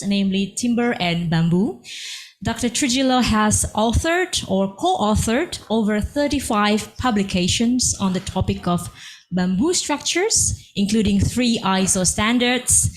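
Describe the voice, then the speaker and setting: A woman's voice: medium pitch, poor audio. A woman moderating an online course, carefully reading a speaker's biography with hesitant fillers. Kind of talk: formal introduction of a speaker.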